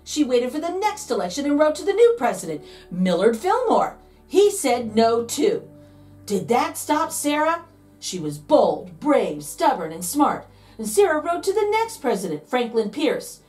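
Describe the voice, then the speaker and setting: Animated, high-pitched woman reading a children's story. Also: far from the mic, soft background music. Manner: dramatic, playful, emphatic storytelling with drawn-out key words. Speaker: read-aloud host, storyteller. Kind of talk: expressive children's picture book read-aloud.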